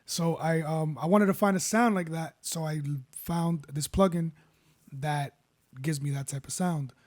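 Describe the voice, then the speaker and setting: A man with a baritone voice, casually walking viewers through making a beat and rambling as he goes. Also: unhurried. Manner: relaxed, rambling, self-correcting. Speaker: beat producer. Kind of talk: casual tutorial talk. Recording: faint music behind.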